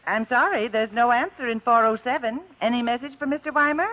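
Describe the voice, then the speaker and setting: A woman's voice: on the high side, brisk, lightly acted. An actress playing a polite, businesslike and helpful female hotel switchboard operator in a radio drama. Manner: courteous, businesslike. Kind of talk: old radio drama acting. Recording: muffled vintage radio drama.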